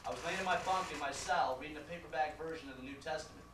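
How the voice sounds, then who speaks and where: A man narrates his story in a measured, plain way. His fairly high voice sounds distant and roomy, with noise underneath it. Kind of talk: narrated stage monologue.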